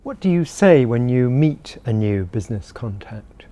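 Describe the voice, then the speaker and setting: A man's voice: mid-range voice, very animated, normal speed, mellow. A man asking interview questions politely for a course recording.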